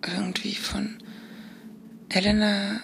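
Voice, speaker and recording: An actress playing a drowsy woman who dictates her dreams in halting, breathy, croaky murmurs for a radio drama. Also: mid-range voice, little pitch movement, noisy background. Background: music.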